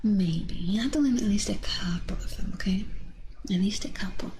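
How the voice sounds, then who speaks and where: A woman livestreamer chatting casually and playfully with her viewers, often softening toward a whisper. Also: mid-range voice, moderate pace, noisy background. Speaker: livestreamer, VTuber host.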